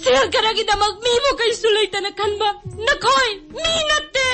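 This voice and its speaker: A woman's voice: extremely high pitch, very fast. A woman acting a grieving figure in a radio drama, crying out in bitter, sobbing accusation. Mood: anguished, accusing. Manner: wailing, accusing, sobbing delivery. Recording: music behind the voice.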